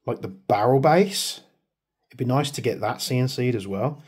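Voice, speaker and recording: A man's voice: mid-range voice, normal speed, a little mellow, slightly rough. A man rambling chattily through a hands-on product review, joking about his own waffling. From United Kingdom. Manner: rambling, chatty, self-deprecating. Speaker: airsoft video maker.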